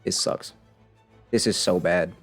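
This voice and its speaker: Low-voiced man grumbling through a game stream. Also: slow, soft background music. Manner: grumbling, wry, talking to himself and the game. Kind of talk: live gameplay commentary, casual chat. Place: live stream, game music behind the voice.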